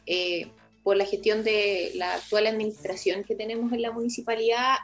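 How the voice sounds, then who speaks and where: A woman with a Chilean accent speaking as a municipal official in an interview, explaining at length and often rephrasing. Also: medium pitch. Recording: music playing behind the voice.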